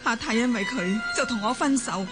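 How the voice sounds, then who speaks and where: A proud, bitter old grandmother, played by a woman in a Hong Kong Cantonese radio drama. Her voice is fairly high, with music clearly playing behind it. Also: normal speed, close to an everyday voice.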